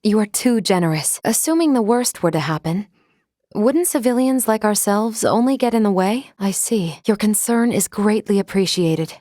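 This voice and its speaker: A woman playing a logical, polite, protective android first mate in a video game, speaking with formal, precise, measured diction. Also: mid-range voice, expressive, warm tone, dry recording, studio quality, lightly acted. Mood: polite, composed. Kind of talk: scripted video game character lines.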